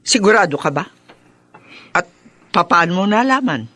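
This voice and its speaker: Casting: a sympathetic middle-aged Filipino aunt for radio drama, animated pitch, inquisitive, supportive. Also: female voice, normal speed, dry recording, full character voice. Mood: concerned.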